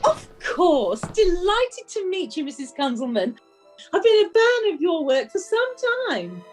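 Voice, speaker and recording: A polished, gushing woman manager played by an actress in an audio drama. Her voice is extremely high and lilting, formally courteous, over faint music. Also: sing-song, unhurried, full character voice. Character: gushing, polished, professional female resort manager.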